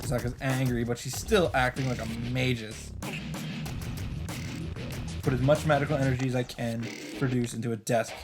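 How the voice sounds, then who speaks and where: A man with a mid-pitched voice reads visual novel narration aloud as a let's play host. He delivers it as first-person story text and drops in casual asides. Music plays faintly behind him. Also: fairly even intonation, moderate pace, pauses often. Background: game music.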